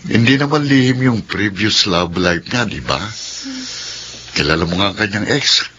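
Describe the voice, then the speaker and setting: A man's voice: fairly low pitch, animated, normal speed, bright, forceful tone. A man playing an overlooked, jealous young friend in a radio drama, with a Filipino accent. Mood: matter-of-fact. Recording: muffled audio with faint noise.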